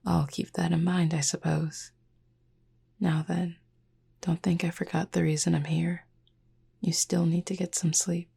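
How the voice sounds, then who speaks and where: A calm cupid roommate performed by a woman murmurs in a soft, mellow half-whisper full of heavy creak. The delivery is slow and formal, proud yet caring and gently teasing, made for a roleplay audio that lulls a listener to sleep. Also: lowish voice, exaggerated voice. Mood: attentive, soft. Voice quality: breathy, husky half-whisper.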